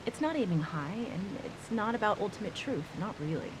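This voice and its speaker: A woman playing a calm, discerning critic in a game audio log, speaking plainly and with consideration. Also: mid-range voice, fairly quick, close to an everyday voice. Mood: calm, critical. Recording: hissy, rain-like background noise.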